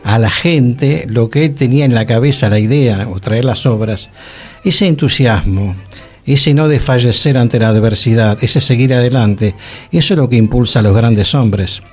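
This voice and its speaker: A man's voice: medium pitch, moderate pace, darkish tone. A man with a Rioplatense Argentine accent, co-hosting a casual radio conversation and emphatically repeating phrases. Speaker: radio show co-host.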